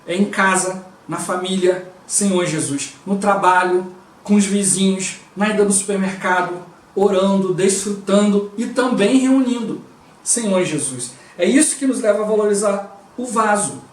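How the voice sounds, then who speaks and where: A man's voice: high-pitched, moderate pace. A man with a Brazilian Portuguese accent giving a devotional sermon, talking conversationally and often breaking in with short invocations of the Lord. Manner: conversational, constantly interjects "O Lord Jesus" and "né". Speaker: preacher. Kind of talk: devotional preaching. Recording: roomy and distant from the mic.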